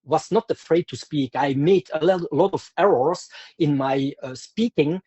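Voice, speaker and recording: A man's voice: fairly high pitch, measured pace, darkish tone, slightly rough, no room sound, highs cut off. A man from Belgium with a Flemish accent, a martial arts instructor speaking in an interview, hesitant and full of fillers and restarts. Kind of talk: conversational interview.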